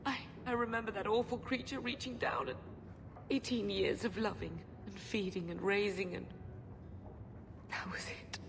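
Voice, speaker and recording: A woman's voice: medium pitch, fairly even intonation, normal speed, vocal fry, close to an everyday voice. An actress playing a wistful middle-aged mother in a fantasy video game, speaking with tender sorrow. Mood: grieving. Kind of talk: video game cutscene acting. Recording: music behind the voice.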